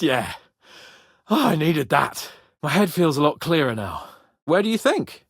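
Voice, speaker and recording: Cocky, high-voiced young nobleman, very animated. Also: breathy edge, no echo, studio quality, exaggerated voice. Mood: brash, self-assured. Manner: pompous, spirited, swearing. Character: cocky young Bohemian noble lord, boastful, foul-mouthed, eager. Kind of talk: video game voice acting.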